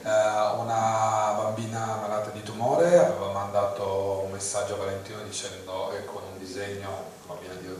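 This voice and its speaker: A man's voice: fairly low pitch, level tone, fairly quick, hardly any pauses. A man telling an anecdote in short bursts at a festival evening. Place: cinema hall. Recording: echoey hall, distant mic.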